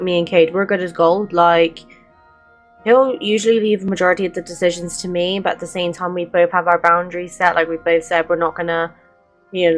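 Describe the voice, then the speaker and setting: A woman comments casually on a game as she plays. Her voice sits a little low, and she is chatty and informal, with faint game music underneath. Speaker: gaming streamer.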